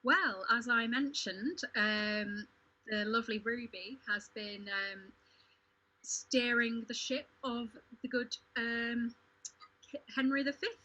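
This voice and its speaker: Slow-paced, bright woman, lo-fi. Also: fairly high pitch, fairly even intonation. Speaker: cast member on a panel. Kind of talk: casual panel chat.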